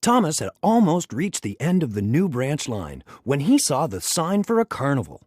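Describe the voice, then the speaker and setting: A man reading a children's story aloud in an expressive, dramatic storytelling manner. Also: on the high side, normal speed, dry recording, studio quality. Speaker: storybook reader.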